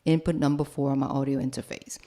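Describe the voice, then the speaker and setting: A woman giving a software tutorial as an instructor, casual and step by step, often checking in with the listener. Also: extremely low pitch, level tone, fast. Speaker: host and music production instructor. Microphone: broadcast microphone.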